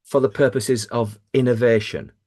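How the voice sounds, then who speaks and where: A man's voice: mid-range voice, fairly even intonation, normal speed, mellow. A man co-hosting a podcast, who asks questions in a joking, rambling way full of restarts. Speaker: podcast co-host. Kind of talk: casual interview chat.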